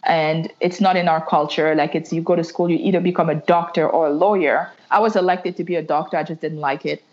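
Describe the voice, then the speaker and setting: Woman, low voice, chatty. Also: fairly even intonation, a little fast, a little mellow. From Canada. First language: French. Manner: chatty, many "like" fillers, restarts. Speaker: guest entrepreneur. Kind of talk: candid interview storytelling. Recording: muffled lo-fi audio.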